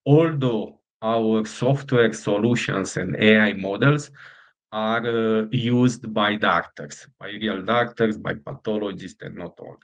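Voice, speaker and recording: A middle-aged man from Romania with a Romanian accent, a startup founder answering interview questions in halting speech full of fillers and restarts. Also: fairly low pitch, fairly even intonation, gravelly.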